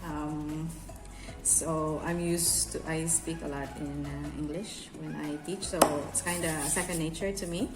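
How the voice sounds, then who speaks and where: A woman's voice: deep voice, fairly even intonation, measured pace. A Filipino-accented woman, a cake decorating instructor, talks viewers through a hands-on craft tutorial in a conversational, instructive way. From Bacolod, Philippines. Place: indoor room, echoey. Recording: echoey room with music behind.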